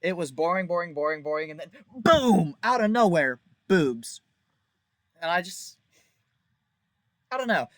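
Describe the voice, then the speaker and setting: A man's voice: high-pitched, sing-song, measured pace, pauses often, fairly bright tone, dry recording. A man giving a casual, rambling game review in a blunt, jokey, informal way, leaning on words for emphasis. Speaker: video creator reviewing a visual novel.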